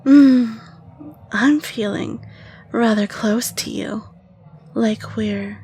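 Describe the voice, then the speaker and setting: A woman's voice: a little high, a little mellow, a little hiss or hum. A woman voicing a flirtatious, possessive female fae in a scripted audio roleplay, coy and teasing. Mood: inviting, sly.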